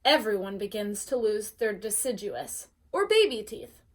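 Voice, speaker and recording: A woman's voice: mid-range voice, very animated, measured pace. A woman voicing a formal, instructional film announcer within a children's storybook. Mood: instructive.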